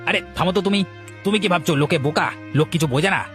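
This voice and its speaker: A man voicing a calm, self-assured village food seller in a moral cartoon, patiently persuading with rhetorical questions. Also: on the high side, rapid-fire, over music, close to an everyday voice. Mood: confident, reassuring. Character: calm, confident village food seller, reassuring his worried wife. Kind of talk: Bengali moral cartoon dialogue.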